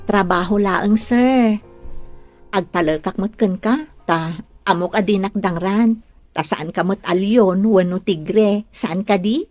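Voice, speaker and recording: A woman's voice: mid-range voice, slow, a little mellow, no room sound, faint music behind. An actress playing a cheerful, frank young female caregiver with an Ilocano accent in a radio drama. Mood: teasing, playful.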